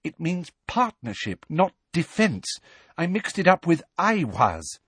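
Man putting on a high sharp teen witch voice, bossy and snappy, husky edge. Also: sing-song, measured pace, no room sound, heavily acted. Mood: upset. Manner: sharp, clipped, exasperated. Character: bossy clever teenage witch, snappish and short-tempered.

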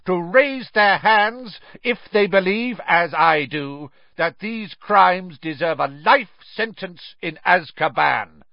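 A man's voice: high-pitched, expressive, slow, few pauses, dry recording, dull audio, voice pushed up, heavily acted. Man as a bellowing, pitiless older judge. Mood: bellowing condemnation. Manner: loud, clear, bellowing, formal. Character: stern, hate-filled older male judge condemning prisoners.

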